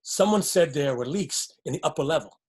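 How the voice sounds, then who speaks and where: Husky, earnest rebel partner, played by an actor. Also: male voice, fairly high pitch, lively intonation, brisk. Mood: suspicious. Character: defiant, hopeful partner plotting escape from underground. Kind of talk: dramatic stage-play dialogue.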